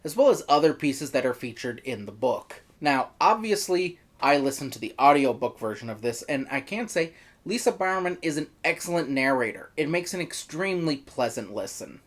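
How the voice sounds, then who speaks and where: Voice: mid-range voice, big pitch swings, moderate pace, few pauses. A reviewer narrating a scripted video review in an opinionated way, with wry, exasperated asides. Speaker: reviewer, host.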